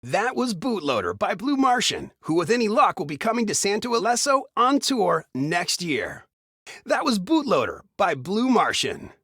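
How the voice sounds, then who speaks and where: An actor playing an upbeat retro radio DJ in a video game, with a smooth announcer's delivery of scripted patter. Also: male voice, high-pitched, huge pitch swings, normal speed, fairly bright tone, no room sound, clean studio sound, heavily acted. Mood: upbeat, informative.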